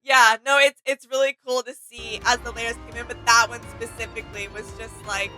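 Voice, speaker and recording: A woman's voice: a little high, little pitch movement, a little fast, bright, forceful tone, faint music behind. A young woman co-hosting a reaction video in casual, conversational style. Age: young adult. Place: small indoor room with desk and shelves.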